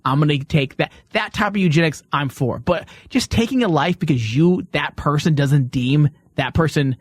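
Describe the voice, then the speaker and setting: A man's voice: fairly high pitch, moderate pace, no room sound, studio quality. A man co-hosting a talk show, debating casually and jokingly in an informal, rambling way.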